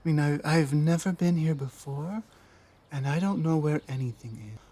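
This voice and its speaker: A man playing an earnest, honorable orc fugitive in an ASMR roleplay, speaking in a hesitant, halting, humble way. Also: on the high side, mellow, no room sound, close to an everyday voice. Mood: humble, pleading. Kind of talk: ASMR roleplay audio drama.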